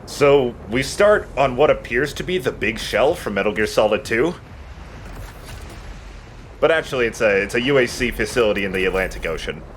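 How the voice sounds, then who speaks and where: A man's voice: medium pitch, lively intonation, moderate pace, slight background noise. A man giving casual, joking and sometimes profane game commentary as the host of a let's play.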